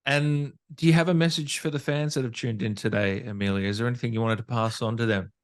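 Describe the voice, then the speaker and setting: A man's voice: medium pitch, fairly even intonation, fast talker. Enthusiastic man hosting a casual interview. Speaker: podcast interview host.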